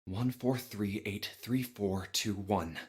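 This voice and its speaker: A man's voice: lowish voice, slow pace, heavy background noise. An actor playing a bewildered young everyman with a hesitant, muttering delivery in a visual novel game. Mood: slow reciting.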